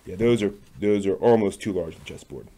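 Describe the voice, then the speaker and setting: A man casually thinking aloud as he works on a craft, muttering to himself in short, unhurried phrases. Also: low voice, warm tone. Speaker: maker hosting a craft workshop video.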